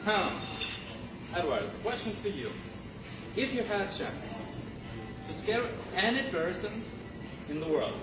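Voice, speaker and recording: Guy hosting a contest, talks slow and halting, far from mic in echoey room. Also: high voice, sing-song. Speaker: contest host asking questions. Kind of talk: hosting a live club speaking contest. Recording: echoey room from afar, loud music.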